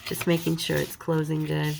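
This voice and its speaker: A woman's voice: low-pitched, monotone, moderate pace, vocal fry, noisy background. A woman with a slight cold, chatting casually and a little self-deprecatingly as she folds paper in a crafting tutorial. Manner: chatty, self-deprecating, talks while working.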